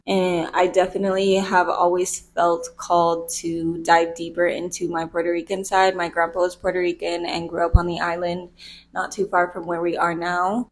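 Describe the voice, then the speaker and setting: A young woman from the United States talking candidly in a casual personal vlog, chatty and laughing easily. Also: on the low side. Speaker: vlogger.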